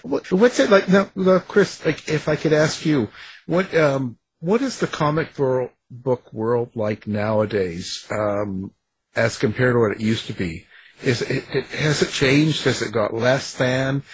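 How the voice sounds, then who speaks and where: A man hosting a casual interview, with a husky voice and a halting way of putting questions. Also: mid-range voice, a little hiss or hum, rough recording. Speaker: interviewer and host of a show.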